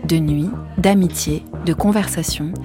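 Calm narrating woman over music. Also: fairly low pitch, unhurried. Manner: calm, literary delivery. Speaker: program narrator. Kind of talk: scripted narration.

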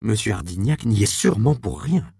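A man's voice: on the low side, animated, moderate pace, gravelly, mellow, a little hiss or hum. A male audiobook reader voicing a middle-aged mother who speaks in a soothing yet firm way. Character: middle-aged mother, tender but firm cloth merchant.

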